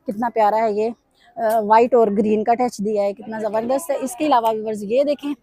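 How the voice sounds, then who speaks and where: A woman vlogger, hoarse from a sore throat, walking through market stalls and pitching bargains to her viewers. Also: fairly even intonation, a little fast, dark tone, dry recording, studio quality. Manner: repeatedly addresses viewers, upbeat sales pitch. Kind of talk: casual walkthrough commentary. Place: busy weekly market stalls.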